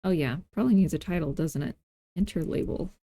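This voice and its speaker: A woman hosting a software tutorial in a casual, playful way, thinking aloud and tossing in self-deprecating asides as she works. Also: low voice, fairly quick, dry recording. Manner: informal thinking aloud while working. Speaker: tutorial host. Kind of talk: casual screen-recorded software tutorial narration.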